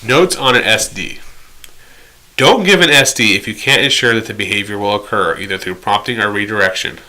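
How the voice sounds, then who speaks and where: A man's voice: on the low side, fairly quick, bright, forceful tone. A man giving an explanatory training lecture as an instructor, direct and instructional with conversational asides. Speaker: instructor in behavior therapy training.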